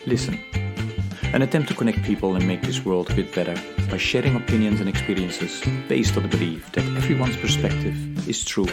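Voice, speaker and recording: Deep gravelly male podcast host. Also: over loud music. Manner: halting questions with many restarts. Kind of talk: podcast interview.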